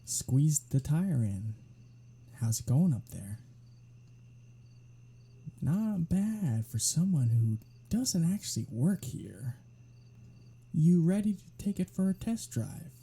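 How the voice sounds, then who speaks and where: Performer playing a teasing vampire predator, ASMR roleplay, very breathy and husky, dark mellow tone, sardonic, unrepentant, casual. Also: medium pitch, big pitch swings, takes it slowly, long pauses, exaggerated voice. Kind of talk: ASMR roleplay audio drama, one-sided dialogue.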